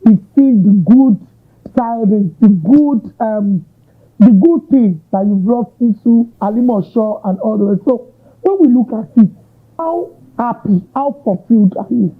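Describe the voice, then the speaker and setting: A man's voice: high voice, unhurried, slightly rough. A Nigerian man hosting an interview, asking long, winding questions with Yoruba asides. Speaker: interviewer, host. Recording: tinny narrow-band audio.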